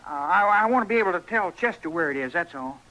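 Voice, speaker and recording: High, bright, cocky American boy. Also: expressive, fast talker. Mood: eager. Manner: rustic boyish bragging. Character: cocky, eager frontier boy, boastful. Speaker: actor in a radio western. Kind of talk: old-time radio western acting. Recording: noisy old radio recording.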